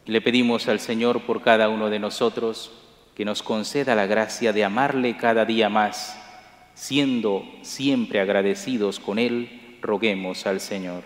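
A middle-aged priest celebrating Mass at the altar, reciting liturgical prayers in a measured, solemn way. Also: male voice, fairly low pitch, fairly even intonation. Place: large church sanctuary, at the altar. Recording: echoey church with long reverb.

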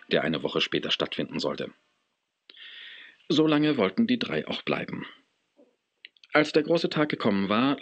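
A man's voice: lowish voice, a bit bright, dull audio. A man acting as the storyteller of a children's adventure audio drama, reading scripted narration.

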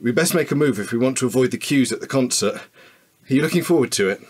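A man acts a tender, protective husband in a radio drama. His voice sits at a middle pitch and is rather husky. He talks in an earnest, natural way. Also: animated, quick pace, close to an everyday voice. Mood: affectionate. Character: devoted, protective husband in a dystopian future.

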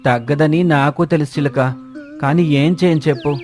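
A man's voice: on the high side, steady tone, normal speed, darkish tone, lightly acted. Man voicing a weary crow over music. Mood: troubled, resigned. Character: troubled, reluctant male crow caught between two admirers. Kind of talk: children's cartoon story dialogue. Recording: music bed under the voice.

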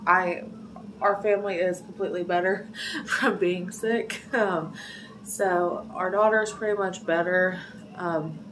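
A woman's voice: mid-range voice, recorded from a distance, background noise. Stuffy-nosed woman chatting to her phone. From Ohio. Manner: chatty, rambling with many fillers. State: congested from a cold. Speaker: vlogger. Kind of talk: casual vlog to camera. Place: small home pantry, indoors. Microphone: phone camera at arm's length.

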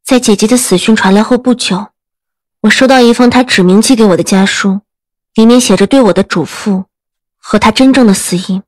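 A woman's voice: a little high, brisk, a little mellow, dry recording, lightly acted. A woman playing a strong-willed young general's daughter in a period audio drama, earnest and composed. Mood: restrained grief.

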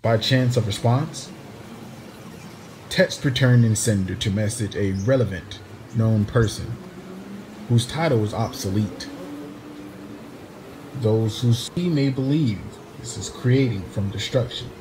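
A man delivering a spoken-word poetry monologue in a dramatic, deliberate manner with pauses between phrases. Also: on the low side, background noise. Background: plucked guitar music.

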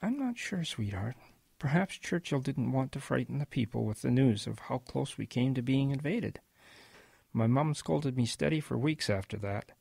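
A man playing a wistful old grandfather in an audio drama, telling his wartime boyhood in a gentle, reflective way with a husky voice. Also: mid-range voice, lively intonation, normal speed, dry recording. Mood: musing, gentle.

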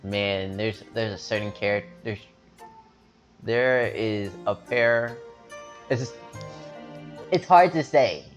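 A man casually reading a story game's text aloud and chatting, stumbling over longer words, with soft game music behind him. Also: lowish voice, takes it slowly, pauses often, muffled recording. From America. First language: not English. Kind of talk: casual let's-play reading and chat.

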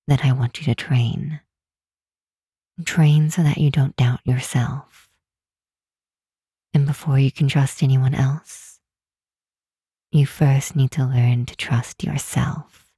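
A woman playing a regal, protective demon queen in a comforting ASMR roleplay, speaking softly and soothingly in a deliberate, hushed way. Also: a bass voice, no room sound, clean studio sound, full character voice. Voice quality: soft, breathy, hushed.

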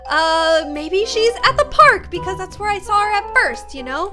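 A woman's voice: extremely high pitch, lively intonation, normal speed, sharp tone, soft background music. A woman in her twenties hosting a gaming video, theatrical and girlish as she chats and roleplays. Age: 20s. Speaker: YouTube gaming host. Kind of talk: dramatic improvised game roleplay and chatty narration. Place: small indoor room.

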